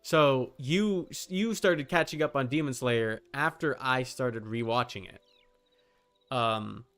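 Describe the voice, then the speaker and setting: A man's voice: mid-range voice, slow, fairly bright tone, clear, resonant tone. Casual male game streamer over music. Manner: informal, teasing, swears casually. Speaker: livestreamer playing a game. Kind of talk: casual livestream chat with viewers. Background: background music.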